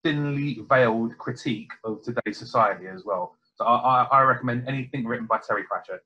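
A man with a bright, husky voice chats easily from home, wearing headphones on a video call. The sound is dull and lo-fi, as call audio tends to be. He talks casually and often repeats his words. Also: mid-range voice, fairly quick. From England. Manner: easygoing, repeats himself. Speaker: podcast guest.

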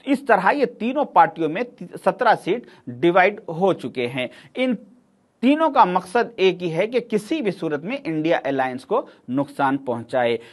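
Middle-aged man, raised pitch, pointed and argumentative studio commentator. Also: big pitch swings, unhurried. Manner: emphatic, sarcastic, argumentative. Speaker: political commentator. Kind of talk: political commentary to camera.

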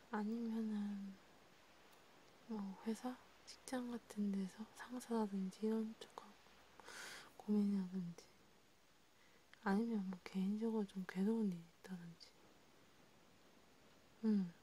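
A gentle young vampire counselor played by a woman speaks in a mellow, half-whispered ASMR voice. The delivery is slow, halting and patient, meant to reassure. There is clear noise behind the voice. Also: mid-range voice, lightly acted. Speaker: amateur ASMR creator. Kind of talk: soft-spoken, half-whispered ASMR roleplay.

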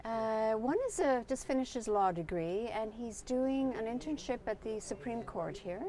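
A woman's voice: on the high side, moderate pace, mellow, slight background noise. A woman in her fifties, born in Poland and raised in Canada, chatting as an interview guest outdoors at night on a lapel mic, friendly and full of restarts. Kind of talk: casual chat.

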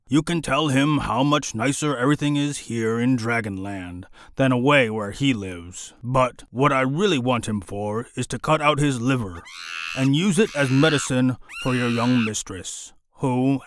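A man's voice: medium pitch, measured pace, dry recording, clean studio sound, close to an everyday voice. A man voicing a dragon king in a storybook reading, exclaiming in disbelief and giving firm orders. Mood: commanding. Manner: incredulous, exclaiming. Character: dragon king, doting husband, exasperated.

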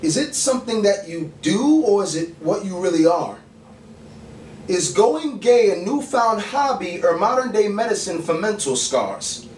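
A male spoken word poet performs theatrically, his high voice swinging widely in pitch. He puts weight on pointed questions and leaves deliberate pauses. The recording comes from a distant camera in a small bar room, with some background noise. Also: sing-song, darkish tone, reverberant. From the Bronx, New York, USA. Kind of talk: dramatic spoken word poetry performance on stage. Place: small dim bar stage, indoors. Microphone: far camera mic, stage mic unused beside him.

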